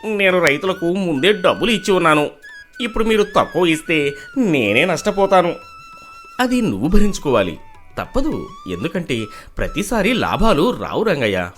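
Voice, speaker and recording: A man's voice: high voice, big pitch swings, normal speed, pauses often, a bit bright, faint music behind. A man voicing village traders and farmers in a dramatised moral story. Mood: firm, dismissive. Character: male villagers in a moral tale: traders, mill owner, wronged farmer.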